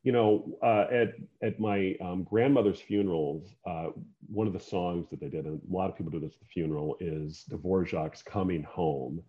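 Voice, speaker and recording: A man's voice: deep voice, steady tone, normal speed, highs cut off. A man from Iowa interviewing a guest, reflecting thoughtfully with meandering asides. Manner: thoughtful, meandering, many fillers.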